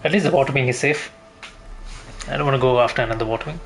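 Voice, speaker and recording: A man's voice: mid-range voice, very fast, gravelly, fairly bright tone. A man giving casual gameplay commentary, rambling as he thinks aloud. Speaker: video game streamer. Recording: faint game noise behind the voice. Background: game ambience.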